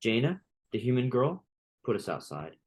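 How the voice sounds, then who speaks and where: A man's voice: mid-range voice, sing-song, moderate pace. A man playing a naive, earnest young pet mouse in an audio drama, with a hesitant, pleading manner. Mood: naive, curious.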